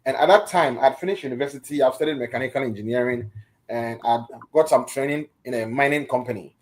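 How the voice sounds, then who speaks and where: A man's voice: medium pitch, fast talker. A middle-aged man, speaking earnestly as a radio interview guest and often restarting his phrases. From Ghana.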